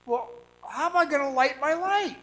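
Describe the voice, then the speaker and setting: An actor playing an anxious, halting miner trapped underground in a suspense radio drama. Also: male voice, extremely high pitch, expressive, fairly bright tone. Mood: nervous, bewildered. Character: frightened trapped miner, jittery, recounting his ordeal. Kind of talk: first-person suspense radio drama acting. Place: performing arts center stage.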